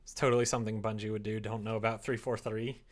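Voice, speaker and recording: A streamer chatting casually while working on a visual novel, drifting between conversation and quieter reading aloud. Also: medium pitch, little pitch movement, fast talker. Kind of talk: casual livestream chat while writing, murmuring draft lines.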